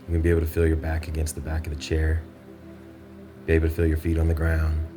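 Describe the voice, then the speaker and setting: Male meditation guide, very deep and monotone, measured instructional phrasing with pauses. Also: over music.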